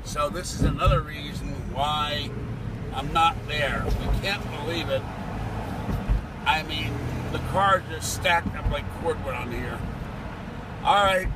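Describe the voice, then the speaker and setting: Chatty man rambling in a car. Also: on the high side. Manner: chatty, joking, teasing, laughs often. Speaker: vlog host. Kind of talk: rambling casual car vlog. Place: inside a car on a traffic-jammed bridge. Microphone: phone camera held in the car. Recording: loud road noise from traffic.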